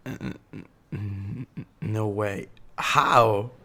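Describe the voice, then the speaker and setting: Young male mob sidekick voiced by a woman: deep for a woman, very slow delivery, blunt, brash, incredulous. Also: fairly bright tone, slight background noise, exaggerated voice. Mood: stunned disbelief. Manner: blunt, swearing, stammers in disbelief. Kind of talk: scripted audio roleplay acting.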